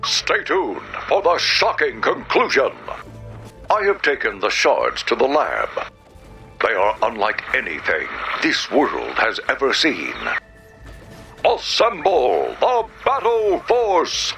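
Bombastic robot movie-trailer announcer. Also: male voice, mid-range voice, wildly swooping pitch, sharp tone, faint music behind, full character voice. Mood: grandly dramatic. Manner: grandiose, theatrical, drawn-out.

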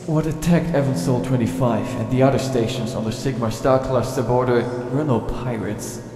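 A man performs a starship commander on trial in a science fiction audio drama. He is indignant and insistent, with a bitter edge, his medium-pitched voice echoing around a large hall. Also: normal speed, mellow, natural voice. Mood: defensive. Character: defiant, embittered male starship commander on trial. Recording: echoey hall reverb.

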